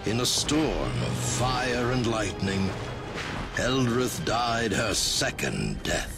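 A man playing a grave storyteller, narrating a dark fantasy video game in an ominous, portentous, gravelly voice. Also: medium pitch, sing-song, slow, over music, full character voice. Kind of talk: dramatic scripted game narration.

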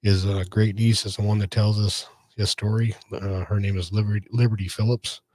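A middle-aged man hosting a podcast, introducing a case informally in a halting, measured way. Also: fairly low pitch, flat delivery, darkish tone, no room sound. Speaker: podcast host and researcher.

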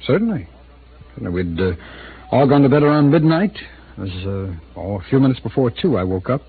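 A man's voice: mid-range voice, big pitch swings, moderate pace, husky, exaggerated voice. An actor in his thirties playing an easygoing, slightly evasive male city attorney in an old radio drama. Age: 30s. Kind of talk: 1950s radio drama acting. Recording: tinny, hissy old radio broadcast.

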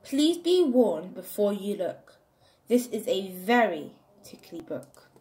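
A woman reading a children's picture book aloud in an expressive, deliberate, sing-song way. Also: mid-range voice. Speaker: storybook reader for children.

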